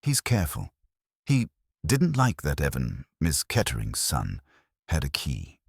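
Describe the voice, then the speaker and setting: A man voicing a nervous, helpful female bakery barista with a crisp, guarded manner in a detective story. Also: extremely low pitch, huge pitch swings, unhurried, no room sound, clean studio sound, exaggerated voice.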